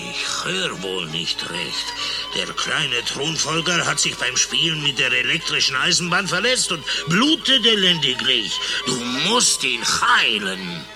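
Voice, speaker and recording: A man's voice: mid-range voice, big pitch swings, a little slow, music in the background. An actor playing a brusque, commanding horseman of the Russian Tsar in a satirical radio play, theatrical in manner. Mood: urgent, commanding. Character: brusque male mounted messenger of the Russian Tsar.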